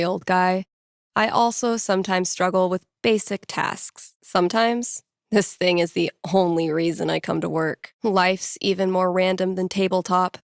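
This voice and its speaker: An actress playing a sardonic, geeky young woman who works as a record store clerk and radio host, speaking in a casual, deadpan video game monologue. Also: lowish voice, creaky, no room sound, studio quality. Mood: wry. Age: young adult. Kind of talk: naturalistic video game voice acting, muttered inner monologue.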